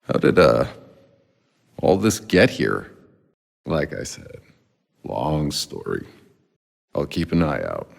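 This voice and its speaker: An actor playing a weary, cynical, foul-mouthed sci-fi soldier for a video game, with a gruff, sardonic, laconic delivery and a gravelly, husky voice. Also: male voice, a bass voice, sing-song, slow, pauses often, big-room sound, heavily acted. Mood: gruff, casual.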